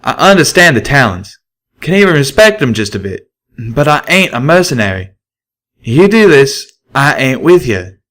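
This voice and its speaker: Guy doing a loud twangy country pony dude, blunt, storybook reading. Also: medium pitch, big pitch swings, normal speed, voice pushed up. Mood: stern. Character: gruff country stallion pegasus, blunt and steady.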